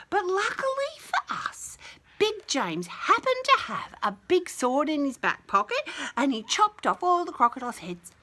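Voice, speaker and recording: Animated, bright-voiced woman reading to children. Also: a little high. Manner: expressive, dramatic, chatty with child listeners. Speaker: children's story reader. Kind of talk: animated picture-book read-aloud with casual asides.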